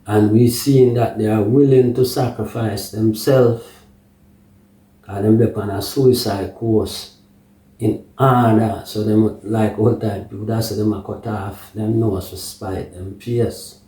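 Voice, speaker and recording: A Jamaican man delivers unscripted political commentary at a slow, halting pace. His voice is lowish and mellow, with patois turns of phrase and rhetorical check-ins. He sounds recorded in an echoey room, away from the microphone. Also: steady tone, recorded from a distance.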